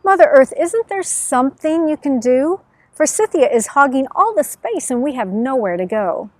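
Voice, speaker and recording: A woman's voice: sits high, very animated, normal speed, a little mellow, no echo. A woman voicing plaintive, indignant plants and animals complaining in a children's story.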